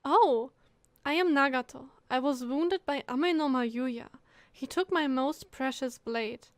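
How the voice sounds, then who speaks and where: Casting: female game streamer, high voice, reactive and chatty, casual play-along commentary, dry close-mic sound. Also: slow pace, dry recording.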